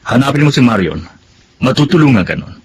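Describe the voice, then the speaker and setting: A man's voice: mid-range voice, very animated, fast, music in the background, lightly acted. A man playing a casual, helpful student in a radio drama, with a Filipino accent. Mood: friendly, helpful.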